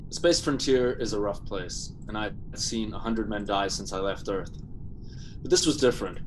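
An actor playing a grim, resigned spaceship pilot in a science fiction radio drama, terse and matter-of-fact. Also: male voice, on the low side, noisy background, lightly acted. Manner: terse, matter-of-fact, halting when pressed. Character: grim, resigned male spaceship pilot, regretful but unbending. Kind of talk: classic science fiction radio drama acting with first-person narration.

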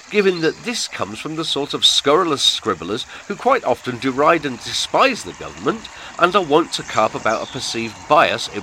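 A man's voice: mid-range voice, bright, forceful tone, slight background noise. A man hosting a podcast, delivering a scripted satirical monologue in florid, pompous, sardonic phrasing. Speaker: podcast host.

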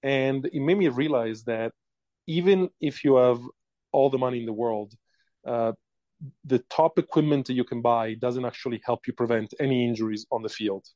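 A man's voice: medium pitch, normal speed, mellow, no room sound, lo-fi sound. A man in his forties from Italy with an Italian accent, a company founder telling his story in a casual interview, hesitant with many fillers.

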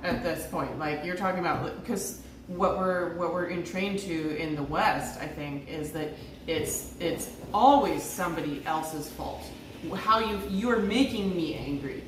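A woman hosting a podcast, chatting eagerly and chiming in quickly. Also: fairly low pitch. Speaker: podcast host. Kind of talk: casual interview chat. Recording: distant, echoey, noisy livestream audio.

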